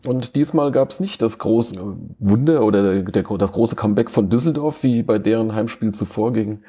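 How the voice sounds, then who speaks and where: A man hosts a casual fan podcast over a remote call. He talks in a chatty, joking way and often restarts his sentences. His voice is mellow and somewhat husky. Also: on the low side, moderate pace. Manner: chatty, self-interrupting, joking. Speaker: podcast host.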